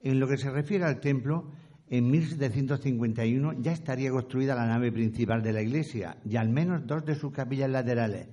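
A male narrator with a mellow, mid-pitched voice. He reads a scripted historical account. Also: fairly quick, highs cut off.

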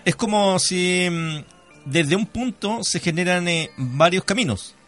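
A man hosts a radio conversation in a fairly high male voice. He is polite and prompting, often asking for things to be made clearer. Faint music plays behind him. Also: animated, unhurried, a bit bright. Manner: polite, prompting, seeks clarification. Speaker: radio host. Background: music under the voice.

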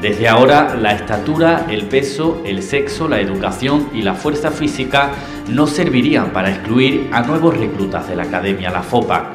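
A man's voice: mid-range voice, animated, normal speed. A man narrating a mock news report in a formal announcer tone. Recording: echoey with music behind.

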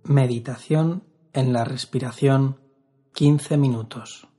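Man, meditation instructor, calm, slow-paced, husky, long pauses. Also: medium pitch. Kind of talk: guided meditation narration.

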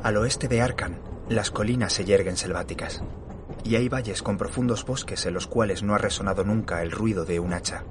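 A man acting as a curious, literary-minded government employee narrates an audio horror drama. His voice is lowish and his delivery formal and measured. Clear ambient noise sits behind him. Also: close to an everyday voice. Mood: measured, intrigued. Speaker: narrator and lead actor.

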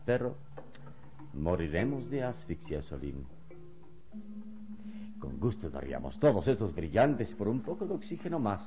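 A very deep-voiced hero of a radio serial, serene and solemn. His delivery swings widely yet stays measured and dramatic. The sound is dull and old, with clear music behind him. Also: big pitch swings. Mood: wry, resigned. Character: serene, heroic adult man consoling his young companion. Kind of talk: Spanish-language radio serial acting.

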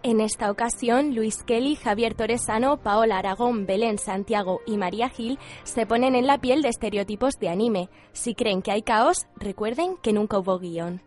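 Female radio presenter, somewhat high pitch, scripted introductions over light music. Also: moderate pace, darkish tone. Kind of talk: scripted narration.

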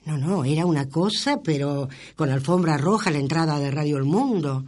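A man's voice: fairly high pitch, normal speed, darkish tone. A man with an Argentine accent reminisces in a casual interview, enthusiastic and emphatic. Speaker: interviewee recalling radio broadcasts.

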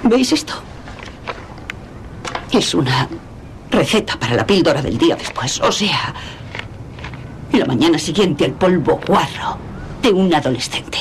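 Frazzled Castilian mother, lively intonation. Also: deep voice, a little hiss or hum. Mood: conflicted, blunt. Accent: Castilian Spanish. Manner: confessional, halting, blunt. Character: frazzled, candid suburban mother in her forties. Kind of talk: dubbed TV dramedy monologue.

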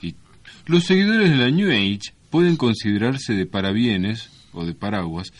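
A man's voice: fairly low pitch, lively intonation, a little slow. An Argentine man co-hosting a radio show in casual, playful, ironic banter. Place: radio studio.

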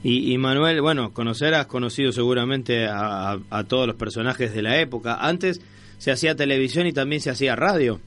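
A man with an Argentine accent hosting a conversational radio interview, often repeating words in a halting way as he goes. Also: mid-range voice, a bit bright. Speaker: radio show host.

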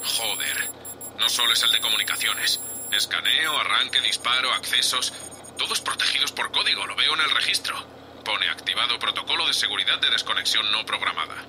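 A testy spaceship captain acted by a man from a Spanish sci-fi audio drama, with a Spain accent. He is curt, scolding and exasperated, with a mid-pitched, very forceful and sharp delivery. There is light ambient noise around him. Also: a little fast, poor audio. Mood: alarmed, frustrated. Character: gruff, foul-mouthed, irritable male spaceship captain.

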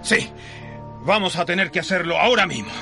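A man's voice: sits high, lively intonation, brisk. A man acting a grieving, indignant Chilean father in an emotional radio drama. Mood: shaken resolve. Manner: emotional, emphatic delivery. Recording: music bed behind the voice.

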